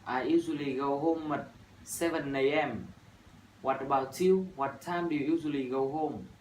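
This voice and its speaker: A man's voice: fairly high pitch, steady tone, darkish tone. Vietnamese student in his twenties, rehearsed English. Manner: measured, rehearsed delivery with "uh" fillers. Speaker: university student. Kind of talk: scripted classroom dialogue practice. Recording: echoey room from far off, faint noise.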